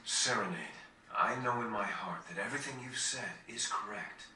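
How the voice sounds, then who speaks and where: A man playing an earnest, formal noble leader with a troubled, measured delivery in a video game cutscene. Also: on the low side, sharp tone. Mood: conflicted, earnest. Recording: roomy, distant game capture with faint noise.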